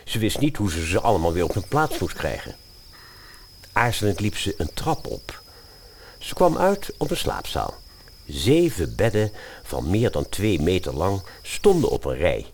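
A man's voice: low-pitched, wildly swooping pitch, unhurried, slight background noise. A man narrating a scripted fairy-tale radio play as the storyteller.

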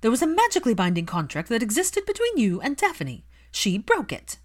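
Imperious ailing noblewoman and mother, played by a female narrator, higher-pitched, swooping, strained and insistent, for story narration. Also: wildly swooping pitch, fairly quick. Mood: defensive. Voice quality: strained, emotional. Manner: emphatic, imperious.

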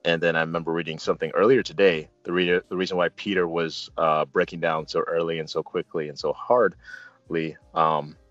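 Low-voiced man reviewing a film, dull audio. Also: level tone, fairly bright tone, no room sound. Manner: halting, earnest fan talk with colloquial asides. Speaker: movie reviewer and show host. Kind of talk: casual film discussion, retelling scenes. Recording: dull lo-fi audio with faint music. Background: faint music.

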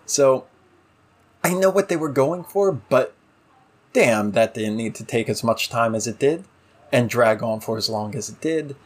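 A man's voice: medium pitch, expressive, measured pace, husky. A man co-hosting a casual discussion show, giving opinionated, exasperated critique in a conversational way. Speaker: podcast co-host.

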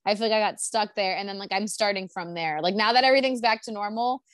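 A woman in her twenties in casual chat, talking fast with lots of "like" fillers and frequent laughs. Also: mid-range voice, no echo, clean studio sound. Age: 20s. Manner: chatty, laughing, full of "like" fillers.

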